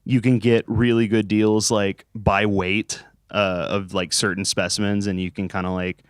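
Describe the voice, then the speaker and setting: A man's voice: lowish voice, little pitch movement, normal speed, smooth, no room sound, studio quality. A young man in a band interview, joking around, swearing freely and laughing a lot. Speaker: band member. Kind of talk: casual interview chat.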